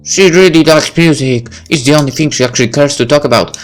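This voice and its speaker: Outgoing schoolgirl for a visual novel, teasing and casual, raised pitch male performer. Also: fast talker, faint music behind, close to an everyday voice. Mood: friendly, casual. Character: outgoing, cheeky teenage schoolgirl.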